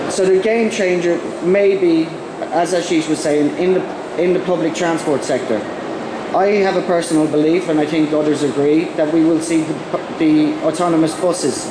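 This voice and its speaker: Brief: Irish man with a cold presenting at a conference, slightly high voice, roomy hall with noise behind. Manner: halting, many ums and restarts. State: has a cold. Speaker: conference speaker. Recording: echoey hall with background noise.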